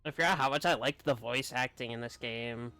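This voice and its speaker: A man's voice: medium pitch, a little fast, sharp tone. A man giving casual live-stream commentary while playing a video game. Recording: faint game music behind. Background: game audio with music.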